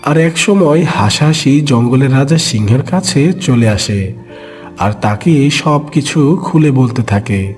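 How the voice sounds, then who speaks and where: A man's voice: medium pitch, expressive, slow pace, a little mellow, soft background music. A man narrating a scripted children's cartoon story.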